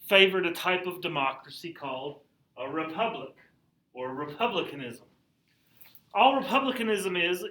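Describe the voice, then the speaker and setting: A man teaching a high school history class in a casual lecture style, repeating key points and checking in with the class. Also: pushed and bright, echoey room, poor audio. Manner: conversational, repeats key points, asks class if it makes sense.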